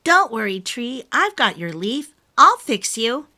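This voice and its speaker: An earnest, tender-hearted young fox from a children's storybook, played by a woman. The voice sits high and swings about with feeling, pleading and caring, over background music. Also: sing-song, measured pace, bright, forceful tone, heavily acted. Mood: reassuring, earnest.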